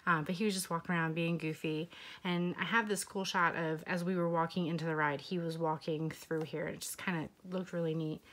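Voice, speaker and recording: A young woman, a little hoarse, casually narrating a scrapbook walkthrough with relaxed, chatty asides. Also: deep voice, fairly quick. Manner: relaxed, chatty, with fillers and occasional whispered asides. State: slightly hoarse, losing her voice. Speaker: scrapbooking vlogger.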